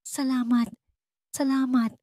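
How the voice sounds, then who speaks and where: A woman's voice: sits high, level tone, takes it slowly, warm tone, no echo, studio quality, pitched above the performer's own voice. A woman with a Filipino accent voicing a frightened pregnant young woman, breathless and emotional, in a story. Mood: tearful relief.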